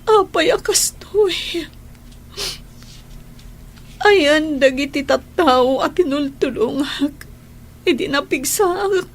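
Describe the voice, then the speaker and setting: An actress playing an ailing, weary daughter in an Ilocano radio drama, frail, tearful, breathy and halting. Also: female voice, sits high. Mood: tearful despair.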